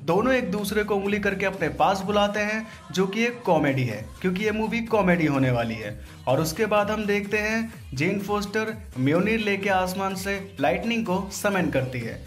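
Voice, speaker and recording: A man's voice: sits high, expressive, normal speed, faint music behind. A man hosting a voice-over breakdown in an informal, slangy way, as commentary for viewers. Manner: informal, crude slangy joking. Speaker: video host.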